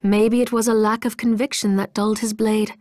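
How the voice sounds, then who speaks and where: A woman playing a courteous, loyal, gentle ally in a video game, with polite, formal speech. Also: medium pitch, little pitch movement, brisk, no room sound, studio quality, natural voice. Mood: earnest. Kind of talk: video game character acting.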